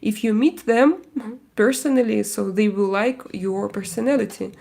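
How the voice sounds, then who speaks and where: A woman from Russia speaking English with a Russian accent, hosting a casual live stream and chatting with viewers, hesitant and full of pauses and fillers. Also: mid-range voice, gravelly, darkish tone. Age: adult with young kids. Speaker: livestream host.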